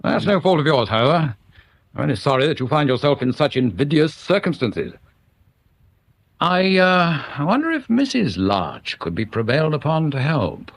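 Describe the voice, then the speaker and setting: A senior English police chief in a radio drama, stuffy and formal. His voice has a husky edge and rises and falls freely as he talks in pompous, roundabout phrases. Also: fairly high pitch, very animated, moderate pace, full character voice. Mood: delicately coaxing. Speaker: actor playing a senior police officer.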